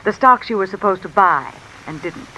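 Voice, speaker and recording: A woman's voice: medium pitch, normal speed, rough recording. A woman playing a calculating, discontented wife with an insinuating, controlled manner in 1950s radio mystery style. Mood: knowing, confident. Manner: insinuating, controlled, coolly commanding. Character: cool, calculating, discontented wife blackmailing a man. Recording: old radio recording with steady rain noise. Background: rain falling.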